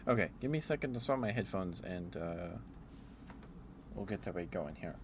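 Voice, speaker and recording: A man livestreamer chatting casually in an ASMR stream, rambling in a hesitant, unhurried way. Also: low-pitched, animated, noisy background.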